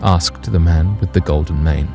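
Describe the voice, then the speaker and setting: A man's voice: very deep voice, moderate pace, vocal fry, music in the background. A man narrating a scripted story in a literary, dramatic manner.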